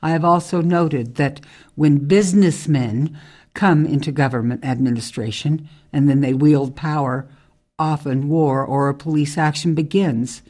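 A woman playing a tough, outspoken ex-military forest ranger in a radio drama, speaking in a measured, emphatic way. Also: sits low, mellow. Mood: grave, critical.

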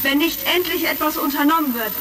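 A woman's voice: high-pitched, a little fast, a bit bright. A woman playing a proud, accusing senator making formal oratory in a retro sci-fi audio drama. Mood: indignant. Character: proud, accusing, ambitious female galactic senator. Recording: crackly vintage vinyl-style recording, distant.

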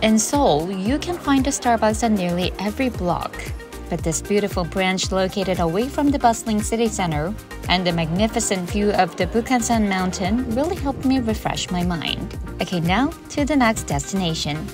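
Woman, mid pitch, calm scripted voice-over, even delivery, background music. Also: moderate pace. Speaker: narrator.

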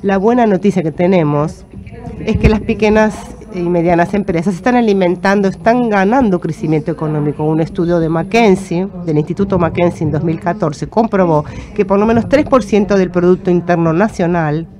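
A middle-aged woman, a native Portuguese speaker, giving a measured, formal talk as a conference panelist into a handheld microphone. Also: fairly low pitch. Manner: measured, cites figures steadily. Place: conference stage, indoors. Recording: conference hall with audience murmur. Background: audience crowd.

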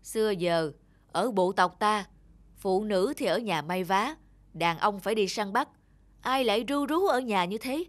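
A woman voicing a stern, traditional hunter father in a fairy-tale cartoon, gruffly lecturing. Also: medium pitch, a little slow, lightly acted. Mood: scornful. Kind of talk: animated fairy-tale dubbing.

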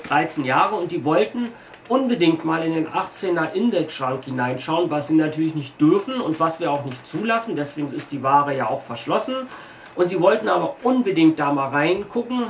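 A man in his forties from Berlin with a light Berlin accent, casually telling anecdotes to camera, checking his notes and pausing often with fillers. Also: on the high side. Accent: light Berlin German. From Berlin, Germany. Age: 40s. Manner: chatty, reads from notes, frequent pauses and fillers. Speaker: video host telling shop stories. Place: small room packed with shelves, indoors. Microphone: camera mic a few metres away. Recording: roomy, distant camera audio with faint hiss.

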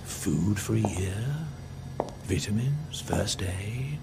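Slow, gravelly, possessive older man. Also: lowish voice, expressive, very noisy, full character voice. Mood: coaxing. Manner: theatrical, brooding, threatening. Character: possessive, menacing older man turning from coaxing to rage. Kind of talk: dramatic video game acting.

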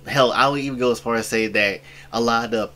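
A man in his early twenties hosting a casual anime art commentary, rambling with repeated filler phrases and sudden loud outbursts. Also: mid-range voice, fast, soft background music. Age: 20s. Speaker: channel host.

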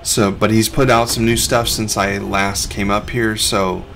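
A man's voice: on the low side, flat delivery, measured pace, gravelly, a bit bright, slight background noise. A middle-aged man, a reseller who hosts a vlog, narrating over his footage in a laid-back, conversational way.

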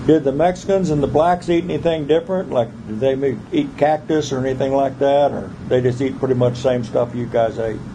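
A man's voice: medium pitch, a little fast, few pauses, background noise. Curious man asking questions. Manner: curious, chuckling. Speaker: interviewer. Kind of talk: casual family interview.

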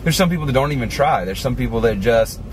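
A man in his forties talking casually to the camera from inside a car, animated and chatty, with many asides. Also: mid-range voice, fast, slight background noise. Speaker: vlogger. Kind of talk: casual motivational talk to camera. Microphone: camera on the dashboard.

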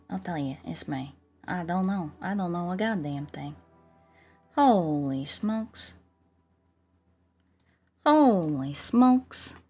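A woman's voice: medium pitch, fairly bright tone. A woman livestreamer putting on a sassy, folksy cowgirl with a theatrical American Southern drawl and mock indignation. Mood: exasperated. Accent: put-on American Southern. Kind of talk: in-character game stream chat.

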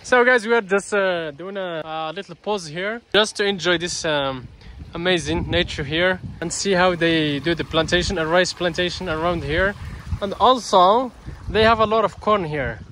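Male vlogger, 30s, high voice, chatty, selfie-cam outdoors, noisy background with running water. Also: normal speed, sharp tone. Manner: chatty, addresses viewers as guys. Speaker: travel vlogger. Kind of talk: casual travel vlog. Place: outdoors by a waterfall and rice fields. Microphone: handheld camera at arm's length.

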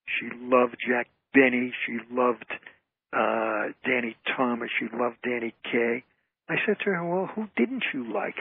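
An older man chats as a radio interview guest, sharing stories in a casual way. His voice is rough and husky, and it comes through thin and narrow, like a telephone call. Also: mid-range voice, a little slow, no room sound. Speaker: guest, author and comedy emcee. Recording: tinny, narrow phone-line sound.

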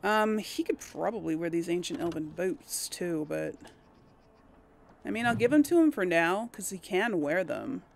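A woman's voice: lowish voice, lively intonation, normal speed, gravelly, slight background noise. A woman giving casual let's play commentary, hesitant and musing as she thinks aloud to herself. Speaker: gaming host.

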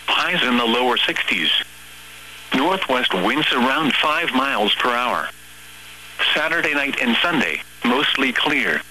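A synthetic male text-to-speech voice reading weather radio forecasts and observations in a measured, formal, impersonal way. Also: mid-range voice, sharp tone, poor audio. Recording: weather radio broadcast with hum and static.

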